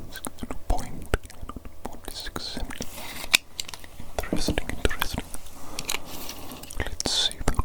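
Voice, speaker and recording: A woman speaks entirely in a breathy whisper, as in ASMR roleplay. She plays a scheming evil genius fussing over the listener, repeating little phrases in a soft, playful way. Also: poor audio. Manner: slow, repeats phrases softly, playfully doting. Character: scheming evil genius examining a creature minion.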